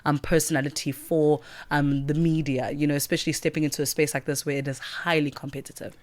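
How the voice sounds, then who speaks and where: A man hosting a radio studio interview, chatty and animated, joking with the guest between steady listening hums. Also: a little high, quick pace, no room sound. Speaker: radio host. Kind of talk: casual interview chat.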